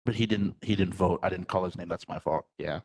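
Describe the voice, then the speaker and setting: A man's voice: low voice, fairly quick, darkish tone, slightly rough. A man running a roll-call vote at a public meeting, apologetic and self-correcting.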